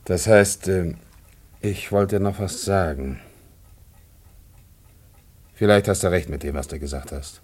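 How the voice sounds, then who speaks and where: An actor playing a bitter, weary old communist father in a serious radio drama, brooding and declamatory, with a gravelly voice. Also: sits low, slow pace, long pauses, darkish tone. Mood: weary, relenting. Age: older.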